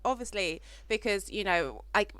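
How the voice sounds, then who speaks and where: A woman's voice: mid-range voice, expressive, moderate pace, fairly bright tone, no echo. A woman from Africa hosting a podcast interview, speaking in a reflective, searching way that trails off mid-thought. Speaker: podcast host.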